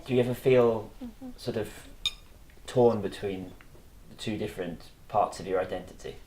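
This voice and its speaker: A man's voice: on the low side, moderate pace, mellow. Man interviewing in an echoey room. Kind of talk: interview questions. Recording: echoey room with faint noise.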